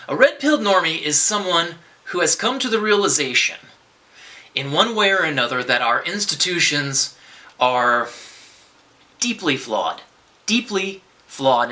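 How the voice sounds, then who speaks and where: A man's voice: a little high, big pitch swings, moderate pace, pushed and bright. A man delivering a rambling, unscripted political monologue to his viewers, often restarting his sentences and swearing in bursts. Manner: rambling, with many restarts and profane outbursts. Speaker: vlogger.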